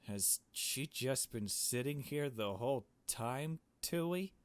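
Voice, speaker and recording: A man voicing an anxious young woman from a visual novel, nervous, halting and questioning. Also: mid-range voice, lively intonation, dry recording. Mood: alarmed. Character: anxious, hesitant young woman, easily alarmed sidekick.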